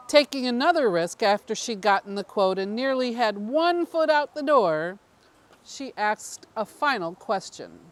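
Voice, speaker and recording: A woman's voice: a little high, expressive, slow pace. A woman reading a scripted story aloud as its narrator. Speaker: story reader.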